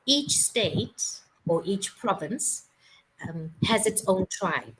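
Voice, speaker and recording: A woman's voice: mid-range voice, very animated, slow pace, poor audio. A South African woman tutoring English on a video call, explaining patiently and checking that she is understood. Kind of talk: casual video-call conversation.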